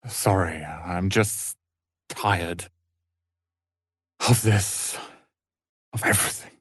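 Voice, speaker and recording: A man voicing a proud, sharp-tongued young wizard's apprentice and protective brother in a fantasy video game, articulate and theatrical. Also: low-pitched, very slow, breathy edge, no room sound, full character voice. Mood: weary, subdued.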